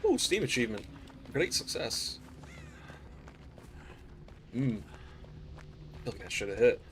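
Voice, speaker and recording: A man's voice, rough and hoarse as he gets over a cold, talking through a game in a relaxed, slangy way. He chats to viewers between plays at an ordinary middle pitch, with a little faint noise under the voice. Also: slow. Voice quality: raspy throat. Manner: laid-back, chatty, slangy asides to chat. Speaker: gaming streamer. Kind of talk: casual live gameplay commentary.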